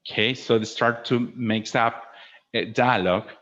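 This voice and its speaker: A man's voice: medium pitch, measured pace, a bit bright. Man presenting a lesson through dull audio. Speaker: teacher trainer presenting a webinar. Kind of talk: informal instructional presentation with acted demonstrations.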